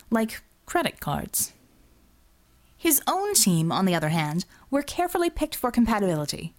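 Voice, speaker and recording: A woman narrates a work of fiction in a mid-range voice. She reads scripted prose as an audiobook narrator, telling the story in the third person. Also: mid-range voice.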